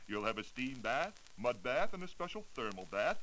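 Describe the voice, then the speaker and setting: A man's voice: medium pitch, sing-song, normal speed, bright, forceful tone, rough recording. Fawning beauty salon salesman, old hissy radio. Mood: ingratiating. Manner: effusive, obsequious, persuasive. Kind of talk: 1940s radio comedy sketch acting. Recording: hissy 1940s radio recording.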